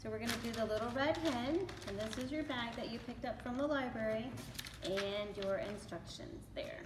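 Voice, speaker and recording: A woman's voice: on the high side, moderate pace. A middle-aged woman giving children a simple, casual step-by-step craft demonstration at a library. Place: library room with bookshelves, indoors. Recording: echoey room with clear background noise.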